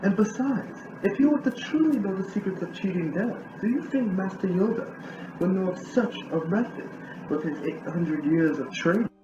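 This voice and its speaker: A man's voice: high voice, lively intonation, moderate pace, highs cut off, background noise, voice pushed up. A man voicing an earnest male Jedi master who pleads with and reasons with his fallen student. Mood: urging. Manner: urgent, reasoning. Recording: echoey room with music behind.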